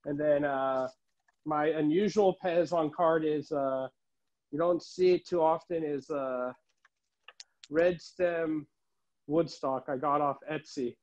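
A middle-aged man giving a casual show-and-tell tour as a collector, listing items haltingly, recorded indoors on a handheld phone. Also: a little high, darkish tone. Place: room at home, indoors. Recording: dull, muffled phone audio.

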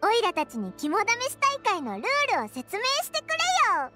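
A woman voicing a small childlike floating companion in an anime video game, brash, greedy and easily scared, with a squeaky voice and blunt, boyish speech. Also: wildly swooping pitch, fairly quick, loud music behind the voice, exaggerated voice. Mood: cheeky.

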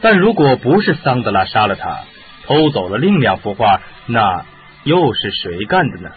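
A man's voice: mid-range voice, big pitch swings, normal speed, phone-quality sound, close to an everyday voice. A man acting in a radio drama as a sceptical but reasonable police inspector with a questioning manner. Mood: sceptical, puzzled. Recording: band-limited audio with music.

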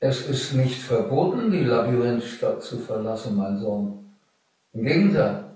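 An actor playing a grave old father in a radio play, speaking slowly and solemnly. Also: medium pitch, animated, mellow, far from the mic, natural voice. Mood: solemn, inviting. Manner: slow, measured, solemn. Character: grave, solemn old father teaching his son to fly. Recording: roomy sound with music behind.

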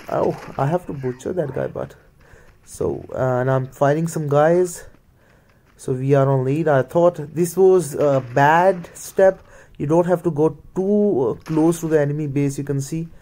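Male gamer, Indian accent, Hindi and Punjabi native. Relaxed, dark-toned, slightly high voice, casual running commentary. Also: unhurried. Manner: mutters play-by-play, slips into Hindi. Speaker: gaming video creator.

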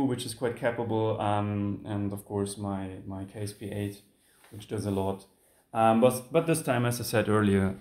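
German-accented man, low easygoing voice. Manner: relaxed, many ums and restarts. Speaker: music producer. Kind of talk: casual studio walkthrough. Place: home music studio.

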